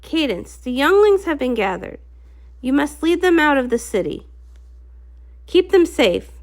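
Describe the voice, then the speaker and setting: A woman voicing a regal, instructive alicorn ruler with formal, measured delivery in a comic read-along. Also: high voice, very animated, voice pushed up. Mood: commanding.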